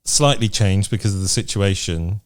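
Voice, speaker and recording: A man's voice: fairly low pitch, moderate pace, dry recording, clean studio sound. A man hosting a podcast in a casual, conversational style. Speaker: podcast host.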